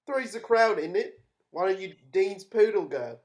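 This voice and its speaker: A woman reading the part of a cocky young working-class lad, with a flat, offhand delivery, in an amateur table read over a video call. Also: medium pitch, moderate pace, lightly acted. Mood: defiant.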